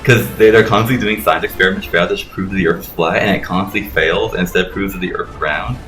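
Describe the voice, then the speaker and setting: A man's voice: deep voice, fast, a bit bright, roomy sound, soft background music, rough recording. A man giving casual, joking commentary as a let's play host, mocking and swearing freely. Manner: profane, mocking.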